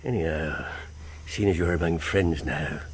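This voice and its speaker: An actor playing a wily middle-aged pirate captain in a radio drama, with a theatrical seafaring dialect, moving between wheedling joviality and commanding menace. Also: male voice, extremely low pitch, measured pace, noisy background. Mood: slyly jovial.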